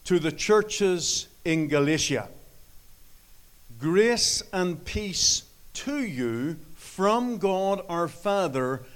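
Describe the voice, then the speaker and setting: Irish pastor preaching, fairly high voice. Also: male voice. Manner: earnest, halting with many fillers. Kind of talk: sermon with scripture reading.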